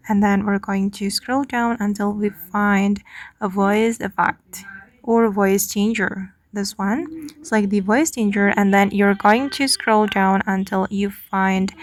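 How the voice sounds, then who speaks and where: A woman hosting a how-to tutorial, narrating each step in a casual, instructional way. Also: mid-range voice. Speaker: tutorial host.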